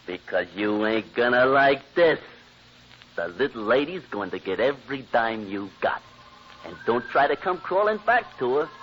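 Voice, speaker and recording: A smug, pushy American tough guy, acted by a man for vintage radio comedy. He needles in slang with a lively, swinging pitch. The recording is muffled with faint hiss. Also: moderate pace, lightly acted. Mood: smug, taunting. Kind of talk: old-time radio comedy acting. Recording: muffled, noisy old radio transcription.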